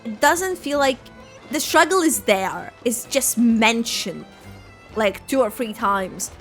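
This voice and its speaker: High-voiced woman reacting to a game. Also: lively intonation, slow, fairly bright tone, faint music behind. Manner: rambling, frequent filler "like". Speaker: gaming video creator. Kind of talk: casual playthrough reaction commentary.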